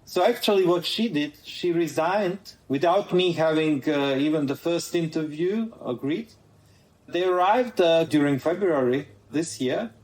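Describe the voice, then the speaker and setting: A man's voice: a little high, measured pace, a little mellow, echoey room, rough recording. A man from Croatia with a Croatian accent, a guest in a conversational interview, earnestly laying out his points in order.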